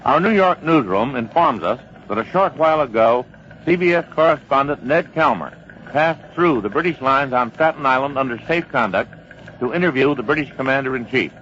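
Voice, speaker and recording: An actor playing a sober American military news analyst in an old-time radio drama, factual and authoritative. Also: male voice, medium pitch, expressive, moderate pace, fairly bright tone, lightly acted. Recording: muffled vintage radio, faint noise.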